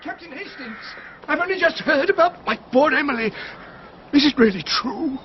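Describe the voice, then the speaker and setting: An actor playing a stiff, formal bereaved husband in a radio drama. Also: male voice, very high voice, animated, normal speed, muffled recording, a little hiss or hum. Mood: stiffly mournful.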